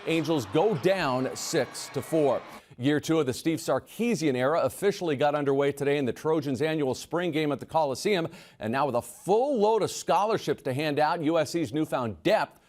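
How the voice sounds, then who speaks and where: A man anchoring a local TV sports desk, reading highlights in a brisk, punchy manner. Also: animated, a little mellow. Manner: brisk, punchy play-by-play delivery.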